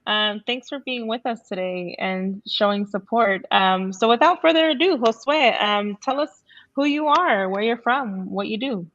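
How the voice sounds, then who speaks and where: Higher-voiced woman hosting, slightly muffled sound. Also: a little slow, a bit bright. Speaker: livestream show host. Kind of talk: upbeat interview hosting. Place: remote video call.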